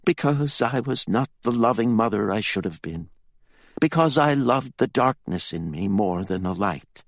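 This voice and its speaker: A man voicing a grieving sorceress mother who speaks softly and solemnly through tears. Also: on the low side, expressive, measured pace, no room sound, voice pushed up. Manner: soft, solemn, tearful. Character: grieving powerful sorceress mother, stern and tearful.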